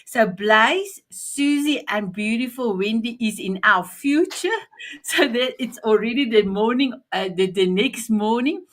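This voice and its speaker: A woman host with a slightly high voice and a slow, drawn-out pace. She gushes over each guest on an online video call. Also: animated. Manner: effusive, gushing. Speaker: summit host. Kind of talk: hosting an online summit.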